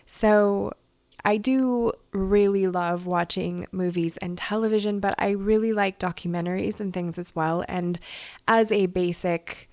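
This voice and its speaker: A woman hosting a solo podcast, her voice raspy and hoarse from crying, talking in a chatty, rambling way. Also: mid-range voice, a little mellow, no echo. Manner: chatty and rambling, many "like" and "you know". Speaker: podcast host. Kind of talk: casual solo podcast review.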